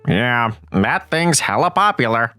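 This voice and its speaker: A man's voice: mid-range voice, sing-song, a little slow, pushed and bright, no echo, faint music behind, voice pushed down. A man voicing a laid-back, slangy, know-it-all nerdy teenage boy, sounding a bit distant from the mic.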